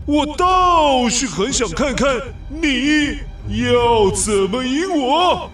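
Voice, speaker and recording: Cocky taunting dragon for kids story, drawls slowly, echoey and far off, music behind. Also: male voice, sing-song, a bit bright, full character voice. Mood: mocking. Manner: haughty, mocking, drawn-out words, booming laughs. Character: arrogant male dragon challenger, boastful and taunting. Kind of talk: children's audio story acting.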